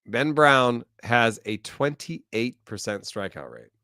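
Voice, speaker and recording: Man talking pitching stats, dry voice. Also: big pitch swings, bright, forceful tone, clear, resonant tone, dry recording. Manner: measured, thinks aloud, dry humor. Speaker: recurring podcast guest analyst. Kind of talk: casual baseball analysis chat.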